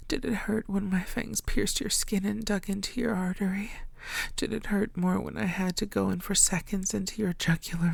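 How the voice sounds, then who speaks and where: Lady doing a unhinged vampire girlfriend for asmr roleplay, low-key menacing n possessive, gravelly fry, breathy whispery bits, super dry closeup. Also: darkish tone, dry recording. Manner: slow, teasing, breathy half-whispering. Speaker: audio roleplay voice actor. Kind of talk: intimate ASMR roleplay acting.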